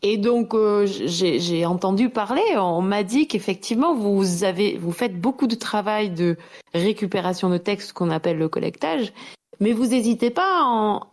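A woman's voice: medium pitch, a little mellow. Polite woman interviewer. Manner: polite, formal questions. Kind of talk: radio interview.

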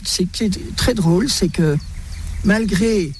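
Homme belge âgé, conteur enjoué. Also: male voice, high-pitched, slow, slight background noise. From Belgium, raised in Brussels. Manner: lively storyteller, chuckles often. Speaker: interview guest. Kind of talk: radio interview, personal testimony.

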